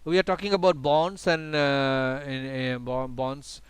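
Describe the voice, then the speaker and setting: A man's voice: medium pitch, flat delivery, unhurried, smooth, no echo. A man whose first language is not English, teaching a live online trading class over a video call, talking with many fillers and restarts.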